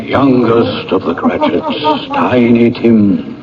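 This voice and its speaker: An actor playing a stern, solemn male Christmas spirit in a radio drama, speaking gravely and with admonishment. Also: mid-range voice, huge pitch swings, slow pace, a loud crowd. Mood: solemn, inviting. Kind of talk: old-time radio drama acting. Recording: muffled vintage radio broadcast, faint noise.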